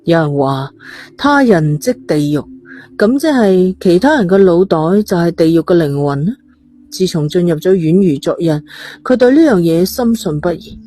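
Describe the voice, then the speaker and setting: A woman's voice: on the low side, expressive, normal speed, warm tone. A woman with a Cantonese accent reading a suspense novel as a scripted, dramatic storyteller. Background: faint music.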